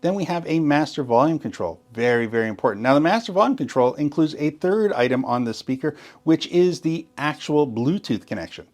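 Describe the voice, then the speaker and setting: A man's voice: a little high, animated, fairly quick, hardly any pauses, darkish tone. A man walking viewers through a sound system setup in a step-by-step tutorial, enthusiastic and explanatory, often stressing what is very important. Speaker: gear demonstrator. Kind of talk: tutorial walkthrough.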